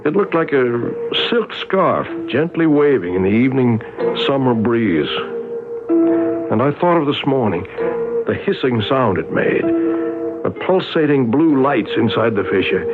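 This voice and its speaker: An actor playing a wary, reluctant American traveller stranded somewhere strange, in a 1970s radio drama with first-person narration. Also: male voice, medium pitch. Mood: pensive narration. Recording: tinny vintage radio recording, music behind.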